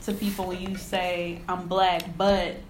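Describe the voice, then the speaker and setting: An animated woman who keeps the conversation moving with questions, speaking at a middle pitch. Her voice rings off the walls of a roomy space, with faint noise behind. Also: unhurried. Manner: animated, steers the talk with questions. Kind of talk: casual discussion. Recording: echoey room with faint noise.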